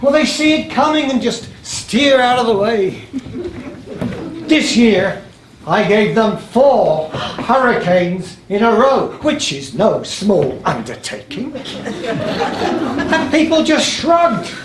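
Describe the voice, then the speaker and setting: An actor playing a weary, boastful aging sea god in a live stage play, declamatory and grumbling. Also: male voice, very high voice, sing-song, slow, a crowd in the background, exaggerated voice. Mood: weary, exasperated. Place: live in a playhouse, far from the mic. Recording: distant, echoey theatre stage, faint noise.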